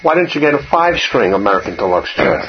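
A man's voice: quick pace, muffled recording. Older storytelling man on hissy radio. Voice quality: low voice. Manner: storytelling, animated, jumps up in pitch. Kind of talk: casual radio chat.

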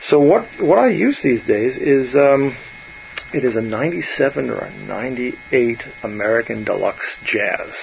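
A man, a bass player chatting casually and rambling on, often trailing off softly. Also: lively intonation, unhurried, gravelly, highs cut off, a little hiss or hum. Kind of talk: casual musician chat.

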